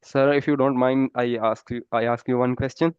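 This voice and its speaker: A man from Pakistan, a native Punjabi speaker, talking English slowly with many pauses and "uh"s, always polite. His voice is smooth but fairly flat. The sound is dry and a bit dull, like a cheap mic. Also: mid-range voice, no room sound. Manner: halting, many "uh" fillers, polite. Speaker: developer practicing English. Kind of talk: casual conversation practice.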